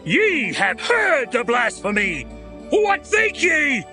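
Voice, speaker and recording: A man playing an imperious, accusing high priest in a dramatized scripture reading, with declamatory, drawn-out questions. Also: wildly swooping pitch, pushed and bright, faint music behind, heavily acted. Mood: outraged.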